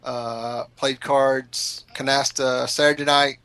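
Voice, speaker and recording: Gravelly man teasing his podcast co-host. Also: mid-range voice, level tone, takes it slowly, sharp tone. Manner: teasing, chatty storyteller. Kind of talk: casual chat.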